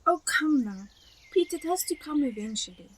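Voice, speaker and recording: A fussy, affectionate elderly wife and mother, played by a woman in an amateur radio drama. The voice sits high and moves about a lot, full of motherly concern. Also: lively intonation, moderate pace. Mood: warm, fussing.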